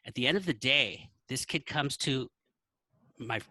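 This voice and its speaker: A man's voice: medium pitch, measured pace, sharp tone, slight background noise. A man telling an anecdote in a casual podcast style, acting out the dialogue as he goes. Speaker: podcast guest, teacher. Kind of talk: casual storytelling.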